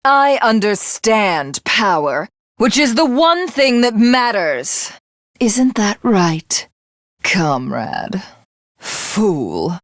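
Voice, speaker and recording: A haughty alien villainess for a video game. Her commanding, theatrical voice swings widely in pitch and takes its time over each grandiose, taunting phrase. The recording is close and dry. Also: sing-song, no echo. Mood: smug, menacing. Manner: imperious, grandiose, taunting, drawn-out delivery. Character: haughty, ruthless alien villainess craving godhood.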